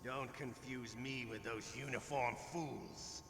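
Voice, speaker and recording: An actor playing a sadistic older Russian interrogator in a video game, gloating and taunting with theatrical, deliberate delivery and a gravelly voice. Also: male voice, steady tone. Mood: boastful, sadistic. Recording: echoey hall with noisy rumble.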